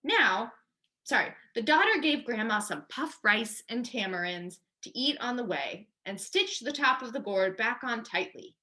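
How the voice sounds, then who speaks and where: A woman's voice: on the high side, animated, a little slow, bright, forceful tone. A woman reading a children's story aloud expressively, as a librarian does at storytime.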